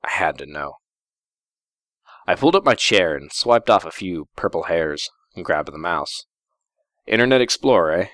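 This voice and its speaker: A man's voice: sits low, expressive, moderate pace, long pauses, fairly bright tone, no echo, clean studio sound. A man narrating a first-person story in a wry, theatrical way. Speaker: fan fiction reader.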